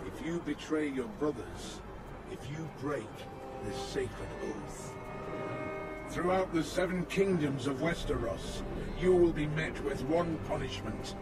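Stern old male commander, fantasy game, slow formal oratory, fairly high-set voice, music behind. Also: expressive. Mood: stern, solemn. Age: older. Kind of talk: dramatic fantasy game acting.

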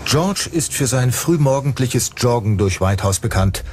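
A man's voice: medium pitch, expressive, measured pace, a little mellow. A man reading scripted true-crime documentary narration as the narrator. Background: music.

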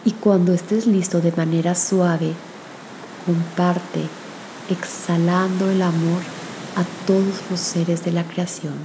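A woman with a slightly low voice guides a meditation very slowly. Her delivery is gentle and soothing, leaving long pauses between phrases. A clear, steady hiss sits behind her voice. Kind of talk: guided meditation with spoken prayer.

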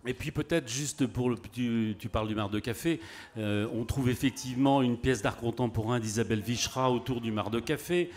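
A man's voice: mid-range voice, brisk, darkish tone, a little hiss or hum. A man, an exhibition curator, explaining things with asides in casual panel talk at a live public event.

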